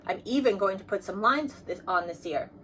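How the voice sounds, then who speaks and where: A woman's voice: mid-range voice, expressive, normal speed, fairly bright tone, close to an everyday voice. A hearty, welcoming storybook dad, voiced by a woman. The delivery is upbeat, like someone announcing to family, with noise behind it. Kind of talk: children's storybook dialogue.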